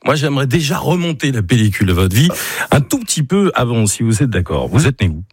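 A man's voice: mid-range voice, big pitch swings, fast talker, a little hiss or hum. A man hosting a live radio interview in a chatty, enthusiastic way. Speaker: radio host. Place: radio studio.

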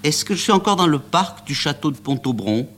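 Brief: jittery young woman, high and fluttering with a very wide swing, read by a man, soft hum behind. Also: animated, brisk. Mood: flustered. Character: young woman, flustered and easily frightened.

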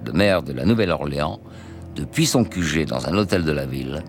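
A man narrating a documentary in a measured, serious tone. His voice is very deep. Music plays clearly behind him. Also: lively intonation.

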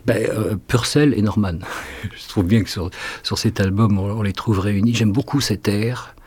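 A man's voice: on the low side, steady tone, moderate pace, darkish tone, husky. A middle-aged man, a singer being interviewed on radio, talking in a hesitant way with many restarts and fillers. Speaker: guest singer.

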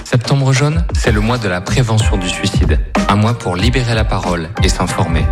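A man reading a scripted public service announcement in a calm, informative way. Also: on the low side, normal speed, loud music behind the voice.

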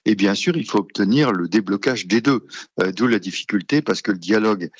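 A man giving expert commentary as a military analyst, measured and explanatory. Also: lowish voice, expressive, breathy edge, dry recording.

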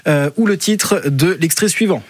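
A man's voice: high-pitched, normal speed. A man hosting morning radio, upbeat and bouncy, running a phone-in quiz. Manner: upbeat, bouncy, sometimes sing-song. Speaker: radio host. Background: music bed under the voice.

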